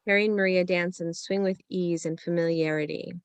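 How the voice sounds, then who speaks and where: A woman's voice: fairly low pitch, steady tone, a little fast, smooth, no room sound, muffled recording. A woman narrating the stage directions of a screenplay in a scripted reading.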